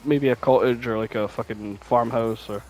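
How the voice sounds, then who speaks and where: A man's voice: mid-range voice, normal speed, low-quality recording. A man co-hosting a podcast in casual chat, rambling and swearing freely as he spins out his thoughts. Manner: rambling, sweary, animated storytelling. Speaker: podcast co-host.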